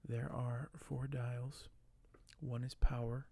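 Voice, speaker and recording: A man speaking softly and gently for an ASMR video, sometimes close to a whisper, with a halting delivery as host. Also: medium pitch, little pitch movement, vocal fry, no room sound. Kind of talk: soft-spoken ASMR, partly whispered; reading aloud and commenting on collectibles.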